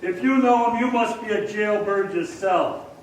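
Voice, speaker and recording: A man playing a gruff, suspicious working man in a stage radio play, curt and brusque. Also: high voice, normal speed, natural voice. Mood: angry, bullying. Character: gruff, hostile working man of a tough town. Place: live stage in a large echoing hall. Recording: echoey hall from far off, faint noise.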